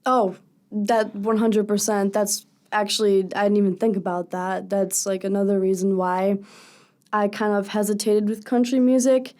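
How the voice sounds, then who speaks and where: A young woman speaks with a low, raspy voice. She is a singer guesting on a radio show, and she answers openly and earnestly in long, thoughtful stretches. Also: flat delivery, moderate pace, darkish tone. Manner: earnest, candid, talks in long thoughtful runs. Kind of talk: casual radio interview. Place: radio studio.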